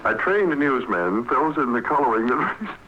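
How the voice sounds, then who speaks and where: Polished network announcer. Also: male voice, mid-range voice, sing-song, normal speed, a little hiss or hum. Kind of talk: scripted station promo. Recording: dull lo-fi old radio broadcast.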